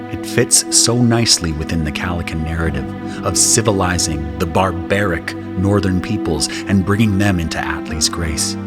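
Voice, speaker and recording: A man delivers scripted first-person narration for an audio drama. His voice is very low, and music runs clearly underneath it.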